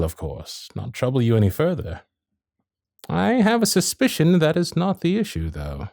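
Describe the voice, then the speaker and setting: Victorian gentleman suitor, male actor, genteel and flirtatious period diction, lively intonation, intimate dry sound for romantic audio drama. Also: medium pitch, dry recording, full character voice. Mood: earnest, coaxing. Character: courtly, infatuated Victorian gentleman suitor, protective and witty. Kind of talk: ASMR romantic roleplay, period piece acting. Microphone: close studio microphone.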